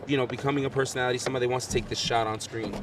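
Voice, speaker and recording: Young male host, rapid, eager chatter, off-mic with heavy background noise. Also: mid-range voice, fairly even intonation, far from the mic. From the Bronx. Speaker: interviewer host. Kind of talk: casual livestream interview.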